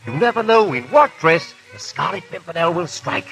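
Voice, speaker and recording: Awestruck gossip, high and swooping, old radio drama with music. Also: male voice, big pitch swings, normal speed, creaky, exaggerated voice. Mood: excited awe. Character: gossipy, awestruck English admirer of a masked hero. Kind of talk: 1930s radio acting.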